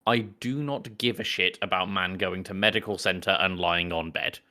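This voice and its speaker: A man's voice: sits low, moderate pace, bright, forceful tone. A man co-hosting a podcast in casual chat, blunt and sweary in the way he talks. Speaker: podcast co-host.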